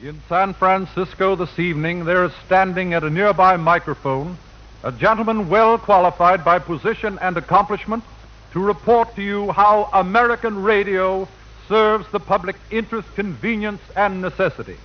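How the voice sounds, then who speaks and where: Very high-pitched male 1940s radio announcer. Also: moderate pace. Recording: muffled 1940 radio broadcast.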